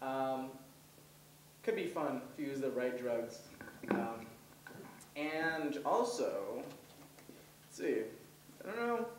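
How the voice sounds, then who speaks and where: A man's voice: mid-range voice, animated, takes it slowly, pauses often, background noise. A young man whose first language is Hebrew doing his first stand-up set, rambling with lots of ums and laughing at his own jokes. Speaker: stand-up comedian. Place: comedy event stage. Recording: roomy, voice from far off.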